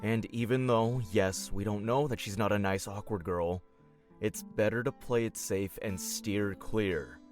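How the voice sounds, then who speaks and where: A man's voice: lowish voice, measured pace, darkish tone, faint music behind, close to an everyday voice. A man acting a cynical, protective and hot-tempered young older brother in an audio drama. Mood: stern, worried.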